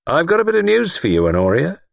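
A man voicing a pompous, grandiose lord in an audiobook, condescending and softly threatening, sure of his own higher power. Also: wildly swooping pitch, fast talker, gravelly, no room sound, studio quality, full character voice. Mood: chuckling malice. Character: pompous, menacing lord convinced a higher power serves him. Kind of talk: audiobook character acting.

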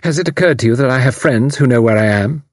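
A man voicing a calm, composed young woman spy posing as a nurse. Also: medium pitch, fast, no room sound, studio quality, voice pushed up, close to an everyday voice. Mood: composed, firm.

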